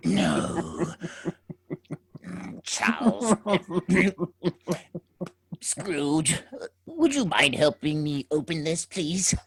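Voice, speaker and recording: A man's voice: on the high side, lively intonation, drawn-out words, creaky, long pauses, slightly rough, heavily acted. An actor playing the wisecracking ghost of an old miser in a comic radio musical, joking, punning and singing his warnings. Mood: fussy. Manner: jokey and pun-loving, breaks into song.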